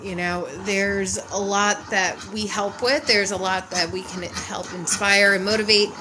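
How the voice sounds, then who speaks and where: A woman's voice: mid-range voice, fairly even intonation, measured pace, background noise. A woman with a head cold, sniffly, chatting casually and rambling to viewers on a live stream as a podcast host. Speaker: podcast host and mental health mentor.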